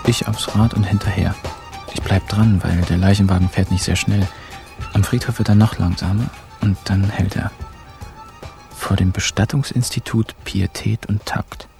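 An irreverent narrator in a radio crime comedy. He tells it in plain colloquial present tense, dry and wry, at a middling-low pitch over faint music. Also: steady tone, moderate pace, gravelly. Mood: wry storytelling. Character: wry, irreverent younger man recounting a crime caper.

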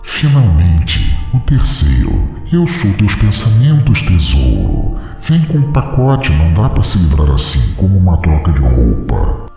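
A man's voice: wildly swooping pitch, a little slow, dark tone, heavily acted. A man voicing a cruel, taunting female inner demon in a story reading, sneering and mock-sweet. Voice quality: deep gravelly voice. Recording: echoey reverb effect with music.

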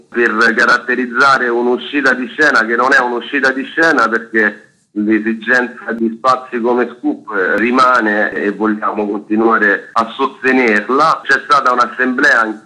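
A man's voice: mid-range voice, sharp tone, rough recording. A man speaking as a festival organizer, announcing an event programme in an informational way and listing details.

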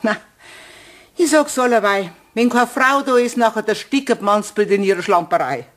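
A woman's voice: fairly high pitch, close to an everyday voice. An actress playing a bustling, grumbling cleaning woman with a Bavarian accent in a German children's radio play. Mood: disapproving, grumbling. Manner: grumbling, brisk, chatty.

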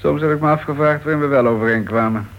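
An actor playing a cocky ex-convict old flame in a Dutch radio play, with a breezy, sardonic, taunting manner. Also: male voice, fairly high pitch, fast, lo-fi sound, lightly acted. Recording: noisy old radio recording with background hiss.

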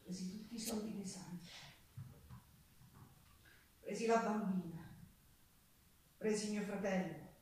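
A woman's voice: medium pitch, slow, long pauses, a little mellow, a little hiss or hum, lightly acted. An actress playing a poor, rebellious Sicilian woman in a theatrical monologue, earthy and defiant, with a Sicilian accent. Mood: bitter. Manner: earthy, defiant, dramatic storytelling. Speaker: stage actor and singer. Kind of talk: theatrical monologue with folk singing. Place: theatre stage. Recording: echoey theatre, distant mic, guitar music. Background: acoustic guitar.

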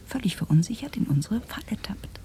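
A woman's voice: mid-range voice, very animated, a little fast, mellow, slightly rough, in a whisper. An actress playing an enigmatic young woman working for a syndicate, coolly cryptic and teasing with menace, in a German radio drama. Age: young adult.

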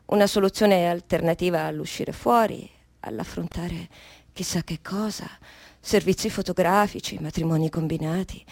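An actress playing a trapped, stubborn, sardonic unborn baby with an adult mind in a theatrical radio monologue, repeating and correcting herself. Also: female voice, on the low side, creaky, a little mellow, no room sound. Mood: anxious, sarcastic. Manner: self-correcting, repetitive, musing then emphatic.

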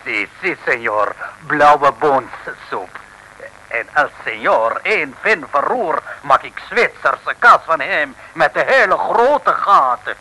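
An actor playing a theatrical, menacing South American villain with a mock Spanish accent in an old radio comedy. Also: male voice, on the high side, lively intonation, slow, sharp tone, full character voice, poor audio. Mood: smugly threatening. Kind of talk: mid-century Dutch radio comedy serial acting. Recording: hissy 1950s radio recording.

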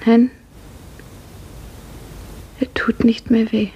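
A woman playing a dreamy, grief-numbed young serf in a 1950s radio drama, hushed, breathy and mournful. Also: a little high, level tone, very slow, dull audio, a little hiss or hum. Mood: numb sorrow. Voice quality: breathy, half-whispered.

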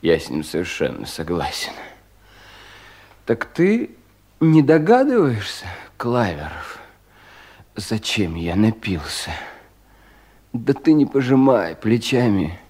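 An actor playing a despairing, bitterly mocking young provincial official in a theatrical radio drama, with long dragging pauses. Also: male voice, on the low side, sing-song, darkish tone, husky, full character voice. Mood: drunk, bitter. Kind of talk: 1970s Russian radio drama acting.

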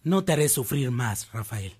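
A male actor playing a kindly, solemn senior priest, husky and measured, in a radio drama. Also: mid-range voice, sing-song, a little mellow. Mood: solemn, affectionate. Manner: measured, paternal.

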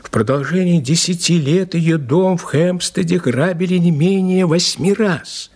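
An actor in an audio play as a respectful police inspector, recounting a case in an emphatic, dramatic way with a slightly husky voice. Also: male voice, sits high, measured pace, exaggerated voice. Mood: gravely narrating. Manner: animated, respectful, emphatic storyteller.

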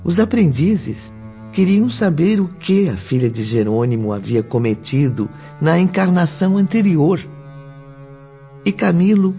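A man narrating a radio drama from a script in a solemn, dramatic, deliberate way. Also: a little high, sing-song, creaky, dark tone. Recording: dull lo-fi audio, music behind. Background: music.

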